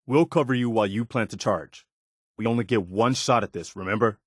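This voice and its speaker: Low-voiced earnest militia officer, played by a man. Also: a little fast, no room sound, studio quality, lightly acted. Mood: resolute. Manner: sincere, plainspoken, dutiful. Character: earnest, steadfast militia officer, loyal adult male companion. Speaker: video game voice actor. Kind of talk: acted video game dialogue.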